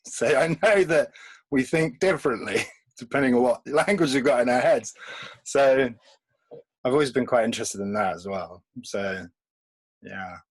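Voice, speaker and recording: Husky-voiced man, laughing often. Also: medium pitch, unhurried, long pauses, no echo. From England. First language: Polish. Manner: rambling, laughs often between thoughts. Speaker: comics artist guest. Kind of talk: casual remote podcast interview.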